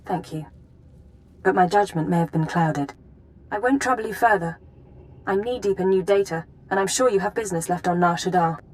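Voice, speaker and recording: A woman's voice: medium pitch, moderate pace, natural voice, low-quality recording. An actress playing an efficient, apologetic female intelligence officer in a video game, formal in manner. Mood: apologetic, brisk.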